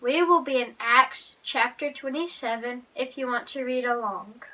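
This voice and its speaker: High, bright-voiced woman reading a story. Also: normal speed. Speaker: guest story reader for children's Bible lesson. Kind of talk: read-aloud Bible story narration. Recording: distant microphone, music underneath.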